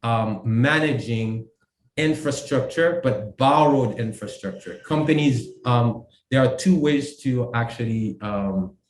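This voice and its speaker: A man from Haiti, a company co-founder, explaining his work in a casual interview, halting with many fillers and restarts. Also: mid-range voice, echoey room, a little hiss or hum, low-quality recording. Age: adult.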